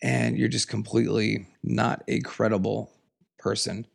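A man hosting a solo podcast, raspy from a cold, talking in an earnest, emphatic, passionate way. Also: level tone, a little slow. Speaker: podcast host. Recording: lower audio quality.

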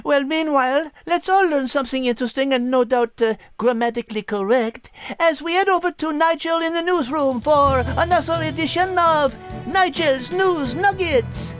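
A woman's voice: sits high, normal speed, few pauses, soft background music, natural voice. A woman playing a coy, refined French lady animal with a French accent, hosting a children's audio story in a prim, playful way. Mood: playful, polite.

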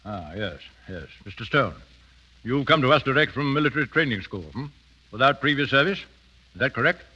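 An actor playing a stern, rigid older British colonel, clipped and commanding, in a 1930s radio drama. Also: male voice, medium pitch, animated, brisk, bright, forceful tone, husky. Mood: cold, formal. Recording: dull vintage 1930s radio recording.

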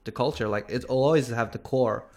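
A man who makes music, talking casually on a podcast and pausing often on fillers. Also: mid-range voice. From Australia. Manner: hesitant, many fillers. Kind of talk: casual chat.

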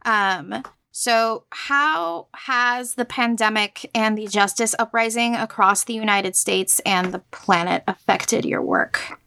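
A woman's voice: a little high, takes it slowly, vocal fry, bright, forceful tone, smooth. A woman hosting an interview, asking prepared questions in an articulate, courteous way. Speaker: interview host.

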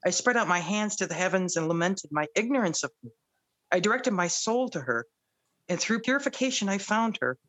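A woman guest sharing her faith story in casual conversation, earnest and grateful, at times reading scripture aloud slowly. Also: mid-range voice, lively intonation, a bit bright, poor audio. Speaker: guest, radio producer.

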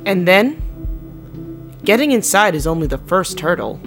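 A woman playing a stern team leader in an audio drama, with formal, dry, measured speech. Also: fairly low pitch, very animated, a bit bright, faint music behind, exaggerated voice. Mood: cautious, stern.

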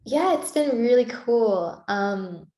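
A woman's voice: fairly high pitch, lively intonation, takes it slowly, mellow. A woman singer-songwriter with a Canadian-sounding accent, chatting thoughtfully in an interview and laughing lightly as she talks. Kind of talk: casual interview chat. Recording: roomy echo.

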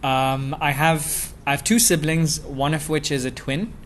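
A man's voice: on the high side, fairly even intonation, measured pace, fairly bright tone, a little hiss or hum. A young man from Dubai, a podcast guest talking casually about himself, with many fillers and chuckles. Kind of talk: casual interview chat.

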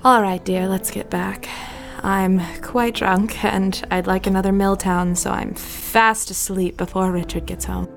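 A woman's voice: medium pitch, flat delivery, unhurried, gravelly, hardly any pauses, faint music behind. A woman playing a fearful, drunken, unhappy wife who pleads in an audio drama. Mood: tipsy, catty.

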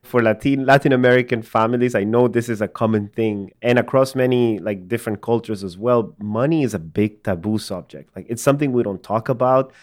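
A man's voice: mid-range voice, fairly quick, few pauses. A man from El Salvador hosting an interview, talking casually with frequent "like" and "right?". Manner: casual, uses "like" and "right?" often. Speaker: podcast host. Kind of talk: conversational interview.

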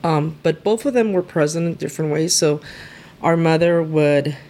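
A woman with a notably low voice speaks earnestly in an interview. She grew up in East Los Angeles with Spanish as her first language. A faint noise sits behind her. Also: fairly even intonation, moderate pace, mellow. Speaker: lawyer guest. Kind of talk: conversational interview.

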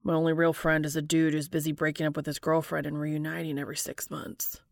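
An ailing, lovesick man in an audiobook story, voiced by a woman. He speaks low and hushed, often close to a whisper, stubborn and pleading. The sound is dry and close. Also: completely flat delivery, quick pace, vocal fry, dry recording. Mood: weary pleading. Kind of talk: audiobook character dialogue.

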